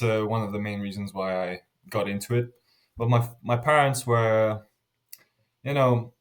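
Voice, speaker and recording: Earnest low-voiced young man. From Lithuania. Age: 20s. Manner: earnest, frequent restarts and fillers, trailing off softly. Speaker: interview guest. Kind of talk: casual interview answers.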